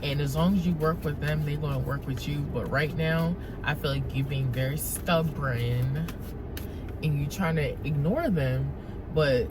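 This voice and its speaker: A woman's voice: low-pitched, unhurried, music in the background, low-quality recording. A woman giving a casual, wandering tarot reading, speaking straight to her viewers as "you guys". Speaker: tarot reader.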